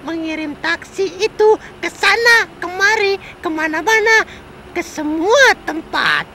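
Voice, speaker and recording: A very high, squeaky cartoon vehicle, friendly and a bit dithering as she chats. Clear background noise runs under the voice. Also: expressive, a little slow, pushed and bright, heavily acted. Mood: chatty. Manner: hesitant, chatty. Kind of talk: cartoon dubbing.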